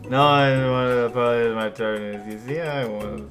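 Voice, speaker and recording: A man's voice: mid-range voice, moderate pace, fairly bright tone. A man co-hosting a game playthrough with casual, joking, slangy banter. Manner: slangy, goofy. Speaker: gaming video co-host making choices. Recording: music behind.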